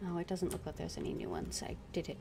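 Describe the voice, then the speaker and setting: A woman giving casual, unscripted commentary as she streams a video game, hesitant and thinking aloud with long pauses. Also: on the low side, sing-song, gravelly.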